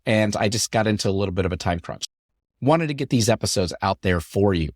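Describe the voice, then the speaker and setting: A middle-aged man hosting his podcast in an upbeat, chatty way, his voice deepened by a lingering cough after an illness. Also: lively intonation, a little fast, no room sound, studio quality. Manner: upbeat, chatty, repetitive phrasing. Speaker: podcast host. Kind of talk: solo podcast talk.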